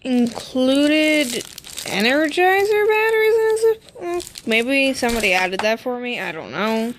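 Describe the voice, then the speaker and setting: A woman's voice: high-pitched, big pitch swings, a little slow, a little hiss or hum. A woman casually narrating an unboxing as a vlogger, informal, with muttered asides to herself. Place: indoors at home.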